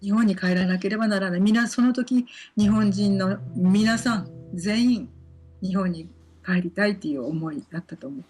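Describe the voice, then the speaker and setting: Woman from japan, interview clip, rapid earnest talk, soft music underneath. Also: mid-range voice. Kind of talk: recorded interview.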